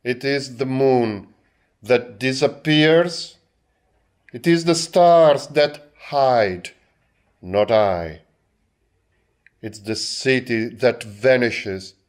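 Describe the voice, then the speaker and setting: Very slow, smooth-voiced man reciting poetry. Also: medium pitch. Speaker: poetry reader.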